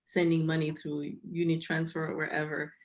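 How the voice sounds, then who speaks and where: Lower-pitched woman hosting a panel. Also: monotone, moderate pace. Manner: affirming, summarizing. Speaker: panel host. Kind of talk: conversational moderating.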